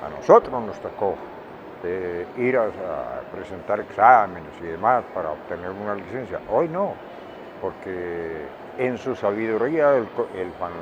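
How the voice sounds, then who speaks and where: An older Colombian man speaks in a low voice at a very slow, deliberate pace. He answers reflectively, stressing points by repeating words. The recording is dull, with clear traffic noise behind him. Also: sing-song. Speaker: interviewee, veteran radio director and journalist. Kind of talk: reflective interview talk.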